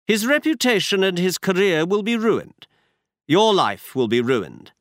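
A man's voice: high-pitched, sing-song, measured pace, a bit bright, dry recording, studio quality, voice pushed up, heavily acted. A man voicing a cool, insistent male detective in a storybook reading, firm and pressing.

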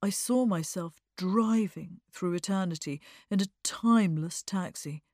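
A woman voicing a lean, sorrowful young man, an anxious aesthete with a precious, plaintive, drawn-out way of talking. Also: on the low side, a little mellow, dry recording, studio quality. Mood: dramatic distress.

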